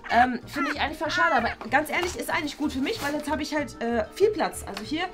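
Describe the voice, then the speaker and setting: A woman's voice: sits high, lively intonation, quick pace, music in the background. A woman playing a chatty, studious schoolgirl who rambles with lots of fillers. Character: chatty studious schoolgirl, a bit know-it-all. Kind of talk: scripted web series acting.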